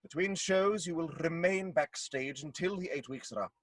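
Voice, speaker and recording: A man's voice: fairly high pitch, normal speed, fairly bright tone, dry recording. A man acting a menacing, haughty Puritan lord in an audio play, speaking formally and with condescension. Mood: menacing, officious.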